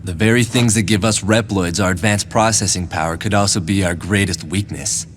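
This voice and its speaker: An actor playing a matter-of-fact male robot hunter in an animated dub, with a cool, slightly wry delivery. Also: sits low, fairly quick, lightly acted. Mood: explaining, wry. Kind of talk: English-dubbed animation acting. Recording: noisy ambience behind the voice.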